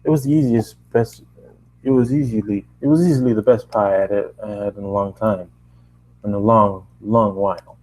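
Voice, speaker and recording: Low, mellow man reading a story. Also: steady tone, moderate pace, a little hiss or hum, rough recording. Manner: stumbles and re-reads lines. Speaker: amateur story narrator. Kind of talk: first-person fan fiction reading, conversational.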